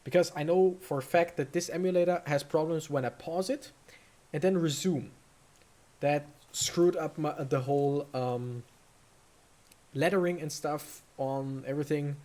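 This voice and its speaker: A woman giving casual let's play commentary, thinking aloud in a halting, rambling way. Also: deep voice, dark tone. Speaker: let's player commentating a video game.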